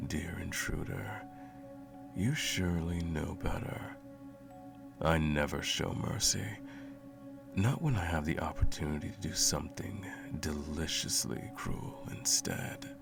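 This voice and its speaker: A man playing a cruel, smug, all-powerful sorcerer in an ASMR roleplay, with a slow, condescending, menacing drawl and a gravelly voice. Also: very deep voice, full character voice. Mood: sadistic, gloating. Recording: music playing under the voice. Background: music.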